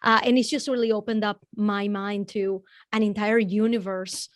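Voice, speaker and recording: A woman in her forties from Venezuela, a native Spanish speaker with a Venezuelan accent, talking as a podcast guest in an energetic, motivational, straight-talking way. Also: medium pitch, normal speed, no room sound, studio quality. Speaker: guest business coach. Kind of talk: podcast interview conversation.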